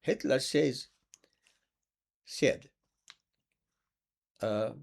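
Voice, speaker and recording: A man's voice: mid-range voice, extremely slow, gravelly. A man from Palestine, an Islamic preacher delivering an impassioned, sarcastic monologue that repeats words for emphasis.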